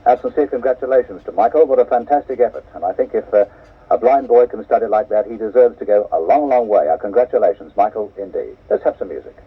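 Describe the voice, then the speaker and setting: A man's voice: on the low side, quick pace, husky. A man chatting between records as a radio disc jockey, in a friendly, conversational way. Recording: tinny cassette of an off-air radio broadcast.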